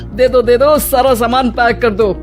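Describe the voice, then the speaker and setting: A woman's voice: fairly high pitch, steady tone, measured pace, close to an everyday voice. A woman voicing a vain, evasive retired husband of fifty in a dramatized story, playful and full of colloquial exclamations. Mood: eager, curious. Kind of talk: dramatized Hindi moral story. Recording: music behind the voice. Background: music.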